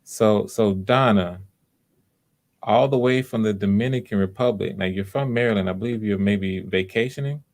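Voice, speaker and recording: Low, creaky-voiced male livestream host. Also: moderate pace, darkish tone. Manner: encouraging, informal, hesitant as he improvises. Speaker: audition host. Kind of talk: casual livestream hosting.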